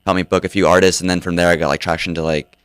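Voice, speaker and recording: A young man with a very low, smooth voice answers questions in a radio studio. He talks in a laid-back, meandering way, leaning on "like" again and again. The sound is close and dry. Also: flat delivery, rapid-fire, dry recording. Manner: laid-back, many "like" fillers. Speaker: DJ and podcast host guest. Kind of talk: casual interview answers.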